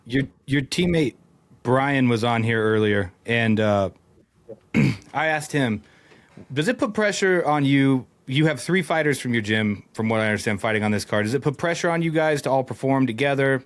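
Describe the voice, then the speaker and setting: A man's voice: medium pitch, normal speed. A man co-hosting a podcast, chiming in with laid-back, joking banter and casual swearing. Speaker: podcast co-host.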